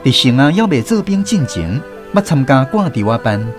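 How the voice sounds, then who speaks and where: A middle-aged man narrating a scripted first-person radio story in a folksy, expressive way, with a Taiwanese accent. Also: medium pitch, moderate pace, over music. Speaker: drama narrator.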